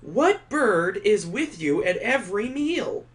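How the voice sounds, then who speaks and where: A man voicing excitable little children in a visual novel, in a raised, childish voice. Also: high-pitched, takes it slowly, pitched above the performer's own voice, exaggerated voice. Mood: cheeky, excited. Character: excitable little children reciting riddles and squealing.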